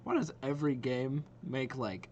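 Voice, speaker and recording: A man hosting a reaction video with casual, impressed commentary, thinking out loud as he watches. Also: medium pitch, moderate pace, a little hiss or hum. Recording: game trailer music playing behind. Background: game trailer audio and music.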